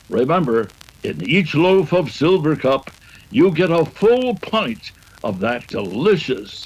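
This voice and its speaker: An American man reading a 1930s-style radio sponsor spot with emphatic, deliberate salesmanship. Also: fairly high pitch, slightly rough, exaggerated voice, rough recording. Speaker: sponsor announcer.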